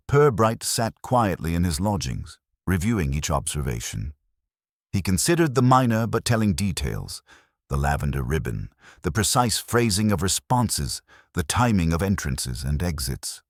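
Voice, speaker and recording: A man with a very deep voice narrates a detective story in measured, formal phrasing. His pitch rises and falls in an animated way, and the recording is dry and close, free of room sound. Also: no room sound. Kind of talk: scripted mystery story narration.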